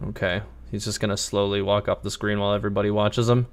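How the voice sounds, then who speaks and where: Male streamer: lowish voice, offhand and wry, musing aloud over gameplay. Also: flat delivery, fast talker. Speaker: game livestreamer. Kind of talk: casual live gameplay commentary.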